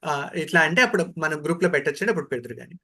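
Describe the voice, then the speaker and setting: Male Telugu trainer for online lecture. Higher-set, bright, projecting voice; instructive, deliberate, sprinkled with English terms. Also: very animated.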